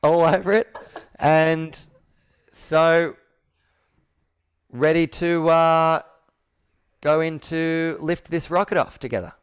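A man's voice: on the high side, steady tone, slow, pauses often, clean tone. A man in his thirties coaching sales on a video call, talking in a persuasive, emphatic way with repeated phrasing. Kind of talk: live sales roleplay coaching. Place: indoor room with whiteboard, on video call.

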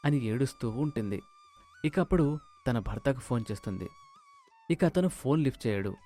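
Male moral-story narrator, medium pitch. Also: mellow.

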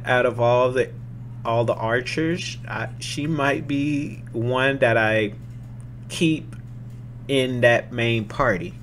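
A young man streamer reacting casually to a game trailer, excitable and slangy, repeating himself, on a desk mic at home. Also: medium pitch, a little hiss or hum. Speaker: gaming streamer.

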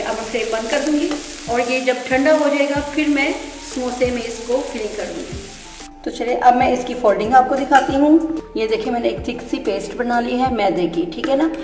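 A woman hosting her home cooking channel, explaining each step of a recipe in an instructive way and often checking in with the viewer. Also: fairly high pitch. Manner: instructive, often ends with "theek hai". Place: home kitchen. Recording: echoey room with background music.

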